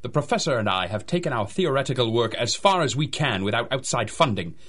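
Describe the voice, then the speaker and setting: Irritable laboratory assistant: brusque, indignant, animated, raspy-edged, vintage radio mystery. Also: male voice, mid-range voice, a little fast. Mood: self-important. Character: irritable, haughty male laboratory assistant, defensive and curt. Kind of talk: 1930s-style radio drama acting.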